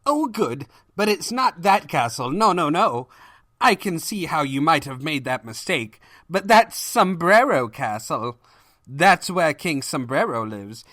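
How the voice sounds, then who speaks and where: A man's voice: fairly high pitch, sing-song, unhurried, sharp tone, no room sound, clean studio sound, voice pushed up, exaggerated voice. A man voicing a frail elderly stallion pony in a storybook reading, with a mild, kindly, rambling manner. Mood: mild, helpful. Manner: rambling, kindly, innocent-sounding. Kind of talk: audiobook character voice, storybook.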